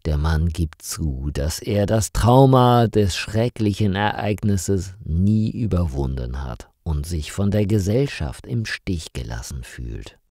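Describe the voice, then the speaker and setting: A man reading a scripted story for language learners in a measured, carefully articulated storytelling manner. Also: sits low, expressive, mellow, dry recording, clean studio sound. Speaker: narrator of stories for language learners.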